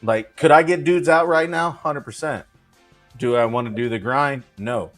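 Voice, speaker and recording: A man in his thirties, a former pitcher on a sports panel, talking casually in a blunt, repetitive way. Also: moderate pace, a bit bright, faint music behind. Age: 30s.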